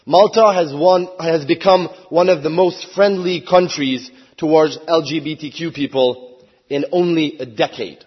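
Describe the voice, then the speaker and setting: A man's voice: fairly high pitch, a little slow, slightly rough, dull audio. A man from Hungary, a member of parliament, giving an earnest prepared speech at a conference and rising to emphatic appeals.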